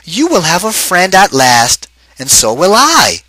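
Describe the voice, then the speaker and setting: A man reading a picture book aloud, voicing an older woman in a high, loud, shrill voice. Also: huge pitch swings, a little slow, dry recording, full character voice, poor audio. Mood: cheerful. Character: frantic old woman, Mrs. O'Grady.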